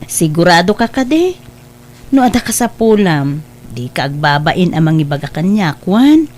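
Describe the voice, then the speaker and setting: An actress playing a kindly middle-aged aunt in an audio drama, speaking in a caring, encouraging way. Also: female voice, on the low side, sing-song, moderate pace, a little mellow. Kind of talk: Ilocano audio drama acting.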